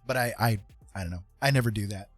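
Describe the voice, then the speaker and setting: A man with a husky voice hosting a casual livestream, rambling along in folksy, welcoming patter as he chats. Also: lowish voice, fast talker, no room sound, soft background music. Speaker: livestream host and artist. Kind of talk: casual chat while streaming digital art.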